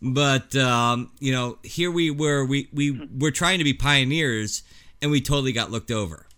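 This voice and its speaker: A man in his forties co-hosting a casual radio chat, telling stories in an animated way with frequent laughs. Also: medium pitch, measured pace, smooth. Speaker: co-host of a paranormal show.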